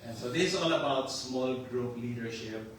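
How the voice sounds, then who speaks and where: An older man with a Filipino accent mixed with some Australian preaches in a loose, joking way. He is picked up from well off the mic, so the voice rings around a very reverberant room. A steady hiss of noise sits under it. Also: medium pitch, moderate pace, distant mic. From Philippines. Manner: joking and chatty, laughs often. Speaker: pastor. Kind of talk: casual sermon.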